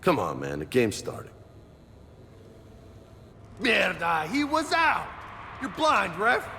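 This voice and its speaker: Swaggering soldier dude in a game, very up and down delivery, slangy, echoey. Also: male voice, sits high, huge pitch swings, slow pace, heavily acted. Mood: easygoing, then outraged. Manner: slangy, swaggering, Spanish words mixed in. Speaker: video game voice actor. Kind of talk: casual game dialogue acting. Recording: reverberant room, faint ambient noise.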